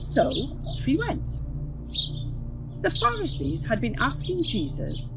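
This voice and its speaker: A woman telling a children's Bible story in a chatty, explanatory and highly expressive way. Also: slow pace, rough recording. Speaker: story reader. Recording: music and birdsong behind.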